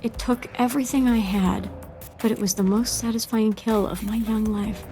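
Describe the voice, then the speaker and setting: An actress playing a young, bloodthirsty Sith apprentice in a game, earnest and devoted to her master, with quiet menace. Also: a little high, fairly even intonation, normal speed, faint music behind, natural voice. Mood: grim satisfaction. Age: young adult. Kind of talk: video game voice acting.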